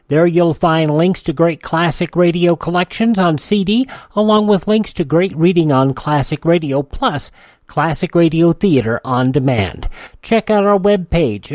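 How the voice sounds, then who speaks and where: Brief: male classic-radio host, animated read, dry booth. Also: fairly high pitch, no echo. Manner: smooth promotional delivery. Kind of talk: scripted radio announcing.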